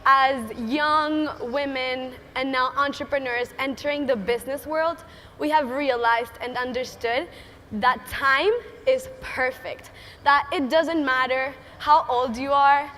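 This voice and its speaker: An eighteen-year-old woman from Venezuela speaks with a Venezuelan Spanish accent and a high, bright voice. She sounds earnest and a little nervous. The talk echoes through a big hall with a faint hum underneath. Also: fairly even intonation, takes it slowly. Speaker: student entrepreneur speaker. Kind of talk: prepared conference talk. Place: large conference hall stage. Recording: reverberant hall with faint ambience.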